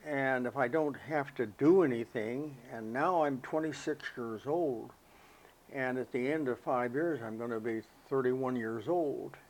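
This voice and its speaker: An elderly American man recalling his life as a veteran in an interview, speaking in a halting, reflective, unhurried way. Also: mid-range voice. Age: elderly, 80s or older. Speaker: interviewee, war veteran recalling military service. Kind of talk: oral history interview, personal recollection.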